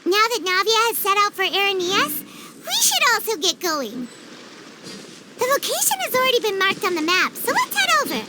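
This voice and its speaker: Eager little fairy companion, childlike squeak, very animated pitch, chirpy, video game acting. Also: female voice, moderate pace, heavy background noise. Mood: plucky, exploring. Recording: loud music under the voice. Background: loud music.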